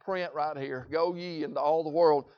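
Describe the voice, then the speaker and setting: A man preaching a sermon in a Southern US accent, folksy and repetitive, swinging between loud shouts and quiet asides. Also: fairly high pitch, fairly even intonation, normal speed, no echo, highs cut off.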